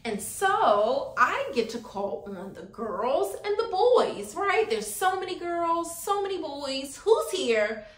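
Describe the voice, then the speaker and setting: An American woman in her thirties speaking as a preschool teacher, animated and encouraging, asking young children simple questions and pausing for them. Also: high-pitched. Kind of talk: children's circle time lesson, addressing young viewers directly. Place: small classroom-style room, indoors. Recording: roomy indoor echo.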